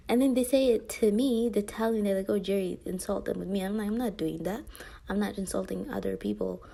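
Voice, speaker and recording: Young woman, husky, warm low-bright tone, casual rambling monologue, frequent whispers. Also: fairly even intonation, brisk. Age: young adult. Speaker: vlogger.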